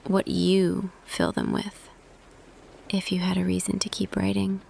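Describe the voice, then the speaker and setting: A woman playing a tender, quietly smitten office boss in an intimate ASMR roleplay, speaking softly and deliberately, often half whispering. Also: on the low side, level tone, gravelly, a little hiss or hum. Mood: tender, hesitant. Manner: slow, hushed, deliberate, pausing. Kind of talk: ASMR roleplay audio, intimate scripted monologue.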